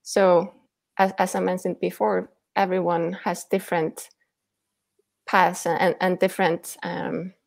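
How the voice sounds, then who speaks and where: Low, gravelly woman. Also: little pitch movement. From Iceland. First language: Icelandic. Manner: careful, with frequent "um" pauses. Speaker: author and former Icelandic teacher. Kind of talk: interview answers over video call. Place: home room, indoors. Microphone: earbuds with mic on a video call.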